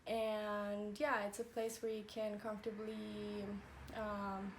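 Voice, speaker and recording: A woman's voice: mid-range voice, monotone, slow pace, noisy background. A woman in her twenties from Colombia, answering interview questions casually, giggling and filling her talk with "like" and "you know". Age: 20s. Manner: chatty, giggly, many fillers like "like" and "you know". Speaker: fashion design graduate.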